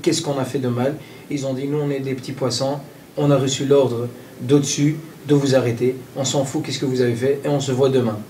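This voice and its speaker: Man from Belgium, interview archive clip, halting and repetitive, dark tone, slightly off-mic. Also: mid-range voice, flat delivery, far from the mic, slight background noise. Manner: halting, many fillers and repeated words. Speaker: interviewee, group spokesman.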